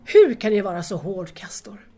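A woman's voice: medium pitch, sing-song, mellow. A woman playing a hurt, anxious young woman in an audio drama, speaking earnestly and formally to estranged lovers. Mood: grieving, disappointed. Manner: earnest, wounded, formal address.

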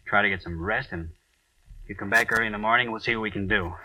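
An actor playing a troubled radio playwright who works late at night, agitated and halting as he mutters to himself in old-time radio drama style. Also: lowish voice, bright, forceful tone, no room sound. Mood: exasperated, weary. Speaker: actor in a radio horror play. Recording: dull old radio recording, off mic.

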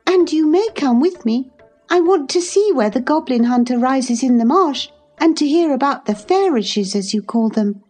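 A woman's voice: animated, normal speed, darkish tone, soft background music. A woman voicing a spoiled, indignant young girl who gives orders and turns tearful, in a storybook reading. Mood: imperious. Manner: haughty, commanding, high and pleading.

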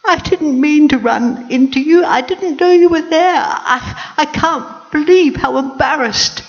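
A woman's voice: sits high, a little slow, voice pushed up. A woman voicing a timid, apologetic little male mouse in a storybook tale, heard in a dull lo-fi recording. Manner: apologetic, breathless gushing.

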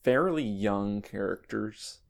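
A man on a casual comedy podcast, answering quiz questions slowly and hesitantly with many ums. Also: on the low side, creaky. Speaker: podcast co-host and quiz contestant.

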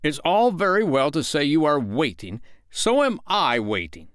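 A man's voice: on the high side, very animated, moderate pace, a bit bright, dry recording, clean studio sound, pitched above the performer's own voice. A man reading a storybook as a blustering, cowardly, self-important middle-aged father who talks in pompous, indignant retorts. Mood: blustering, defensive.

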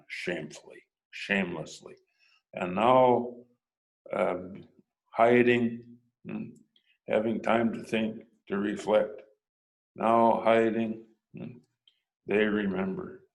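Man, preacher, very slow, reflective sermon, questioning prompts. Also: on the low side, creaky, poor audio. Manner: thoughtful, prompts listeners with "hmm?" and "huh?".

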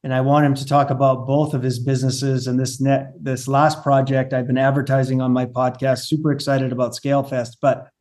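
A man's voice: mid-range voice, little pitch movement, a little fast, hardly any pauses, a little mellow. A man hosting a podcast interview in a casual, conversational style. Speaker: podcast host.

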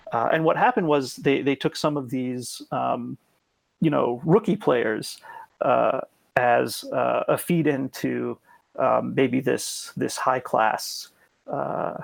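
A man with a very gravelly, fry-heavy voice, explaining things at length as a podcast guest. He restarts his sentences and fills pauses with ums as he works through each point. The recording is dry and close, with no room sound. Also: medium pitch, dry recording. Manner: explains at length with frequent ums and self-corrections. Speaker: guest expert.

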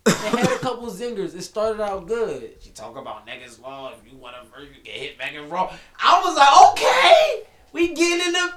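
A man co-hosting a podcast, his pitch swinging widely as he argues his point. He is emphatic and laughs often. The room around him is noticeably echoey. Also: wildly swooping pitch, normal speed, fairly bright tone. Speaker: podcast co-host. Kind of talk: casual podcast banter.

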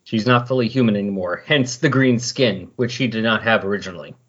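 A man's voice: lowish voice, a little fast, husky, muffled recording. A man talking casually as a podcast co-host in a rambling, conversational way about comics.

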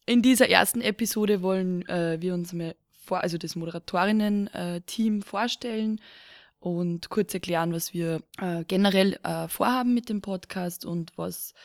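A young woman hosts a casual podcast in a slightly low voice, often pausing on fillers as she talks. The recording is dry and close, with no room sound. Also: fairly even intonation, creaky, no room sound. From Lower Austria. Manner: hesitant, many fillers. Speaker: podcast host.

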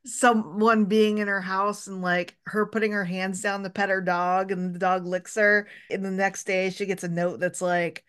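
Woman panelist, wry and deadpan, mid-range voice. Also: mid-range voice, normal speed, few pauses, clear, resonant tone. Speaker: podcast guest. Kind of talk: casual group chat.

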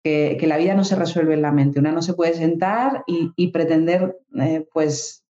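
Andalusian woman in her thirties, mellow and echoey. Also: low-pitched, moderate pace, muffled recording. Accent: Andalusian Spanish. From Spain. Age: 30s. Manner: reflective, frequent tag questions. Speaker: guest psychologist and coach. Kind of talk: casual interview talk.

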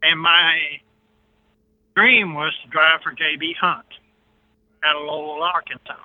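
Veteran trucker guest, male, 60s. Folksy, plainspoken storyteller, slightly high pitch, thin phone-call audio. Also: little pitch movement, unhurried, no echo. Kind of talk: casual anecdotal storytelling. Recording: narrow tinny phone-line sound.

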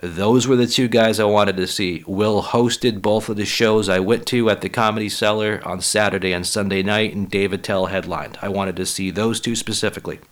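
A man with a low voice, a little hoarse and talking casually into his own podcast. He rambles, swears freely and pokes fun at himself. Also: steady tone, moderate pace, hardly any pauses. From Boston area. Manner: profane, rambling, self-deprecating. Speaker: podcast host and stand-up comic. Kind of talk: casual solo podcast monologue.

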